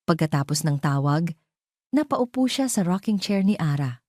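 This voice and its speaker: A woman with a Filipino accent narrates a dramatic audio story. Her voice is low for a woman and dark in tone, with measured delivery. The recording is very dry and close. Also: very animated, no room sound.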